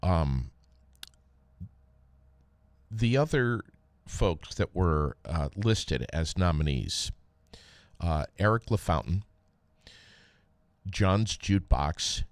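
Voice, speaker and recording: A very deep-voiced American man hosts a casual solo podcast. He talks slowly, pausing often as he gathers his words. The sound is dry and close on the microphone. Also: sing-song, dry recording. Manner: halting, conversational delivery with frequent pauses. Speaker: podcast host, former radio DJ. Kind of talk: casual solo podcast talk with read sponsor plug.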